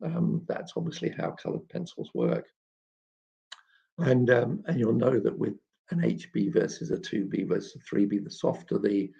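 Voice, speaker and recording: Gravelly man in a halting live chat. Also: fairly low pitch, dark tone. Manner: halting, explanatory, frequent throat clearing. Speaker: children's author and illustrator hosting a live chat. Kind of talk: informal live question-and-answer talk. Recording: dull, muffled lo-fi live stream.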